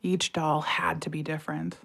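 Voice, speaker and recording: A woman's voice: sits low, flat delivery, moderate pace, vocal fry, fairly bright tone, slightly rough, exaggerated voice. A woman playing a weary, guarded wife and mother in a naturalistic audio drama, plain-spoken and restrained. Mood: subdued, wry.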